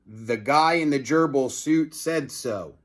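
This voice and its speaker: A man's voice: medium pitch, slow pace, natural voice. A man voicing a plucky, scheming schoolboy prankster with an eager, urgent manner. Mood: excited.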